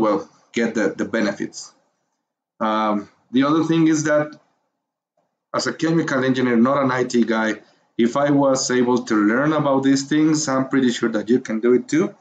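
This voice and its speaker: A man with a Mexican Spanish accent narrates a beginner's screen tutorial in a mid-range voice, stopping often with fillers as he searches for English words. His tone stays encouraging and patient. The recording sounds a little muffled, with a faint hiss underneath. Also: mid-range voice. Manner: hesitant, many fillers and pauses while finding words. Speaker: course instructor.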